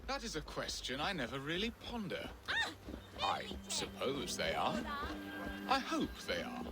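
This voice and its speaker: A man's voice: a little high, wildly swooping pitch, unhurried. A man acting a melodramatic, self-deprecating Saxon lord in a video game, with flowery, wistful romantic speech. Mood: musing, rueful. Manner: theatrical, flowery, musing speech. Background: game music.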